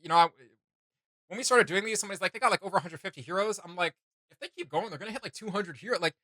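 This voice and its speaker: A young man hosting a reaction video, chatting casually in an animated, rambling, slangy way. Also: sits high, rapid-fire, no echo, clean studio sound. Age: young adult. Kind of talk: casual enthusiastic reaction chat. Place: small indoor room with desk and shelves.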